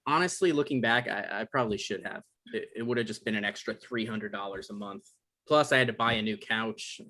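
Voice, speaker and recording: A man's voice: fairly low pitch, a little fast, pushed and bright. A man playing an evasive, defensive guy in a table read, stammering and hedging in an awkward conversational way. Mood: indignant. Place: online live stream table read.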